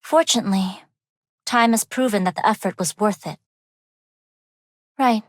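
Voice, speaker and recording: A woman voicing a refined young underworld heiress in a video game, speaking in a composed, measured and formal way. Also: medium pitch, no echo, clean studio sound, lightly acted. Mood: calm, cordial. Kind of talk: scripted game character voice lines.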